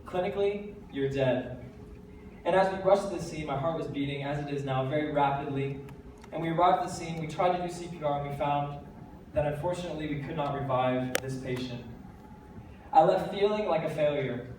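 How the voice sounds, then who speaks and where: A teenage American man speaking on stage, earnest and energetic, his voice sitting a little high. He sounds distant from the microphone, with heavy echo filling a large hall. A faint hiss of noise sits underneath. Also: a little fast. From United States. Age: teens. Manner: earnest, energetic, with light self-deprecating jokes. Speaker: TEDx speaker. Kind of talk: stage talk to a live audience.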